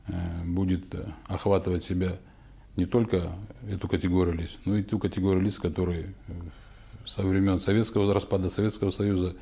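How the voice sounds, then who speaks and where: A man's voice: deep voice, little pitch movement, fairly quick, mellow. A man as a regional official giving a formal, bureaucratic statement in a documentary interview, with frequent hesitations.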